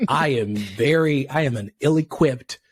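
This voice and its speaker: Young guy from tanzania, guest on a podcast, animated storyteller, mid pitch, dry close sound. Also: measured pace, breathy edge, no echo. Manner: animated storytelling, voices quotes with pitch jumps, laughs often. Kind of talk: casual interview chat.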